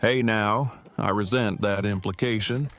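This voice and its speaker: A man's voice: on the low side, fairly even intonation, unhurried, fairly bright tone, a little hiss or hum. A man playing an awkward, bookish nerd with a precise, wordy, formal delivery in an audio drama. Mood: defensive, precise. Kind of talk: fan audio drama acting.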